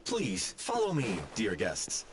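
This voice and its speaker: A man's voice: on the low side, huge pitch swings, slow pace, heavily acted. A man voicing a polite mechanical tour guide in a game, giving formal, courteous recorded announcements. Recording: game audio with noticeable noise.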